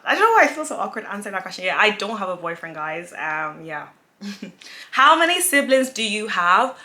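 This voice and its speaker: A woman's voice: medium pitch, pushed and bright. A woman in her twenties from Nigeria, chatting casually and giggling as she answers viewer questions in a sit-down vlog. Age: 20s. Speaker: influencer, vlogger.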